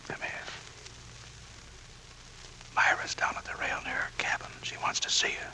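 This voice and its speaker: An actor playing a gruff, tough Navy chief and protective big brother in a 1940s radio drama, blunt, bossy and slangy. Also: male voice, unhurried, pushed and bright, in a whisper, rough recording. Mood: hushed, tense. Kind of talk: 1940s radio drama acting with scripted narration. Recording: 1940s radio broadcast recording.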